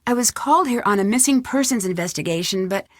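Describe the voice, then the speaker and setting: A woman's voice: medium pitch, expressive, quick pace, dry recording, clean studio sound, lightly acted. A woman playing a composed, caring young amateur detective in a video game, speaking thoughtfully as if on a phone call. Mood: thoughtful, puzzled.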